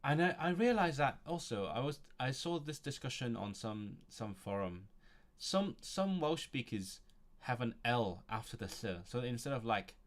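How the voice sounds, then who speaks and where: A man's voice: mid-range voice, big pitch swings, moderate pace. Man musing aloud over his studies. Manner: thinking aloud, musing to himself with frequent chuckles. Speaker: language learner streaming his study session. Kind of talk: casual livestream, reading a textbook aloud and commenting.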